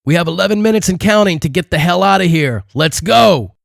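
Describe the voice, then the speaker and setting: A forceful man in command , performed by a male narrator, screams urgent orders in an audiobook adventure. His voice jumps well up in pitch. The sound is very dry. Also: animated, brisk, creaky, dry recording. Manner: urgent, screaming over noise. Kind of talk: audiobook character acting.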